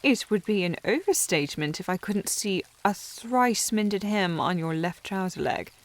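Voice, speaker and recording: A woman's voice: mid-range voice, lively intonation, normal speed, lightly acted. A woman playing a snobbish, teasing young lady with haughty, formal phrasing in a historical romance ASMR roleplay. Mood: bossy, fussing. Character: snobbish, teasing young upper-class lady, secretly fond.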